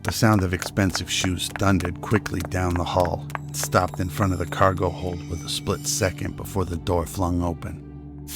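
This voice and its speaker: Male narrator for scripted audio drama, flat contour, slow pace, soft music bed. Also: low-pitched.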